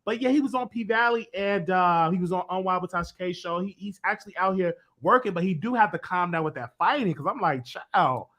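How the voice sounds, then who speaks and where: Casting: male talk-show host, chatty, agreeable, slightly high voice. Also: a little fast, hardly any pauses, a bit bright. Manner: chatty, agreeable, laughs along. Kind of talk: casual chat.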